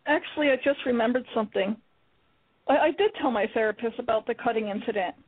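A woman's voice: on the high side, quick pace, slightly rough, dry recording. A woman acting a hesitant, defensive young female college student in a radio play. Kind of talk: amateur radio play reading. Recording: tinny phone-line radio broadcast.

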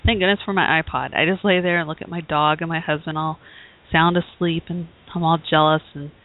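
A woman who hosts a talk show, hoarse from bronchitis, giving a rambling, casual update with many fillers and restarts. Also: sits low, steady tone, fairly quick. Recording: tinny phone-like audio.

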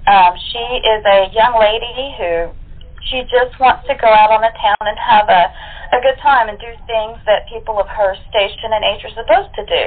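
A woman's voice: a little high, normal speed. A woman chatting casually as an interview guest about the part she acts. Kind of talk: casual chat. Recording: tinny phone-line sound.

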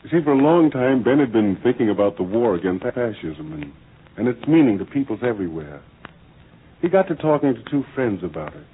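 Formal American radio narrator, tinny old recording. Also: male voice, mid-range voice, sing-song, a little hiss or hum. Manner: formal, deliberate, oratorical. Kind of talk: 1940s radio scripted narration.

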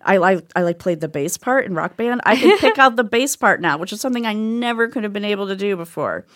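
A woman's voice: mid-range voice, lively intonation, fast talker, fairly bright tone. A woman hosting a podcast in casual conversation, upbeat and enthusiastic. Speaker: podcast host.

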